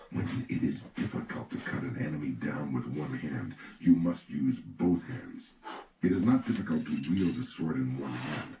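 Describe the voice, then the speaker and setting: A man narrates an audiobook in a measured, instructive way. His voice is extremely deep with a gravelly creak. The recording sounds distant from the mic, with noise behind it. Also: dark tone. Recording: echoey, distant, noisy recording.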